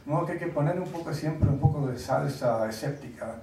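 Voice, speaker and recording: Gravelly man lecturing in an echoey hall. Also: fairly high pitch, noisy background. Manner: halting, searching for words with many fillers; wry, conversational. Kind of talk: lecture to an audience. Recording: echoey hall, mic at a distance.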